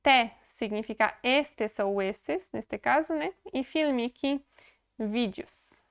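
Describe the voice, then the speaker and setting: Chatty woman teaching, smooth higher voice. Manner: chatty, laughs often, repeats words slowly. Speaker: language teacher. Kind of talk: casual video lesson.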